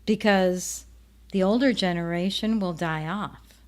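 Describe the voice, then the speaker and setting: A woman with a Minnesotan accent hosts a commentary show, speaking directly to her viewers at a slow, easy pace. She rambles, restarts and lets her sentences trail off. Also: lowish voice, a little mellow. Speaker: show host.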